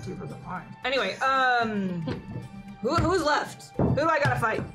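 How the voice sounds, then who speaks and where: A woman playing in a tabletop game, playful, trying to put on a deeper voice for her hero. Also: lively intonation, moderate pace, music in the background. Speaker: player. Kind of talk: casual play chat.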